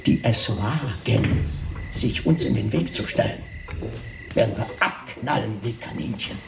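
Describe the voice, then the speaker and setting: Gravelly pompous businessman. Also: on the low side, animated, a little slow, a crowd in the background. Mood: blustering. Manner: pompous, declamatory. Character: pompous, self-important, suspicious businessman. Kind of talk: radio drama acting.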